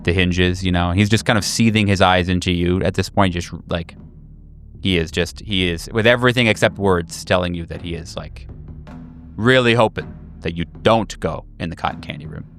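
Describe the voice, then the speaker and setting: Male game master, lowish voice, improvised horror narration with searching pauses, over background music. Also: a bit bright. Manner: halting, searching for words. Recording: music behind. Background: clear background music.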